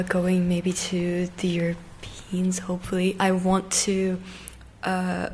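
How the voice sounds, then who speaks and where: A woman in her late teens from Ireland and Russia, answering interview questions conversationally and pausing to find her words. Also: medium pitch, a little mellow, a little hiss or hum. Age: teens, around 17. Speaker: interview guest, competitive figure skater.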